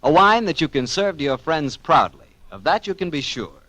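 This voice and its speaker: Bright, lively American radio announcer man. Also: on the high side, normal speed, dry recording. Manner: emphatic, folksy salesmanship. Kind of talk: 1940s radio sponsor pitch and hosting.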